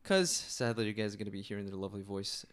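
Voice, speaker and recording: A man's voice: on the low side, quick pace, clean tone. A young man hosting a podcast, chatting casually and joking with his co-hosts, often trailing off mid-sentence. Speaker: podcast host. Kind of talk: casual chat.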